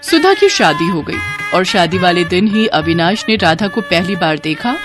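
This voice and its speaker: A woman's voice: on the low side, sing-song, normal speed, music in the background. A woman reading a scripted children's story as the storyteller.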